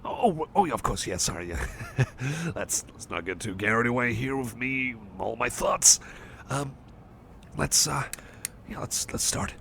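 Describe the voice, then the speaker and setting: A man's voice: medium pitch, animated, measured pace, vocal fry, sharp tone, slight background noise, heavily acted. A man playing a shy, friendly cyborg chum in an ASMR roleplay, hesitant and rambling, often apologising and repeating himself. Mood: chatty, apologetic. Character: shy, friendly male cyborg chum, eager but self-doubting. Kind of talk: ASMR personal-attention roleplay, soft one-sided conversation.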